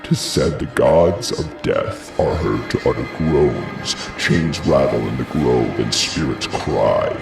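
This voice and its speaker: An actor playing a grave, horrified messenger in a classical tragedy, declaiming a dramatic account in a gravelly voice. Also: male voice, a bass voice, reverberant, recorded from a distance, full character voice, low-quality recording. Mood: grave foreboding. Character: horrified royal messenger recounting a ghastly sacrificial murder. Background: music.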